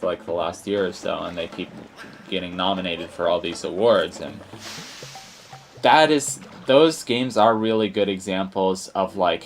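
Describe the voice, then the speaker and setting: Young male let's-play host, very deep and croaky, rambly delivery, faint music underneath. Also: a bit bright. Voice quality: low and croaky. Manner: rambling, many fillers and restarts. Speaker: gaming channel host. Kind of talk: casual let's play commentary.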